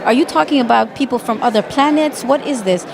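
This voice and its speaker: A woman's voice: a little high, fast, creaky, noisy background. A woman from Ethiopia, an Amharic native, answering interview questions as a magazine editor, thoughtful, with frequent restarts and fillers.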